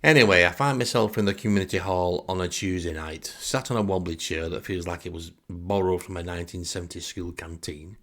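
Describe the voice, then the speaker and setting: A man's voice: low voice, brisk, few pauses, a bit bright. A middle-aged man with a Lancashire accent from northern England, hosting a podcast with a wry, self-deprecating, grumbling comic monologue. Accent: Northern English, Lancashire. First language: English. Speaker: podcast host. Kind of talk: comic first-person monologue.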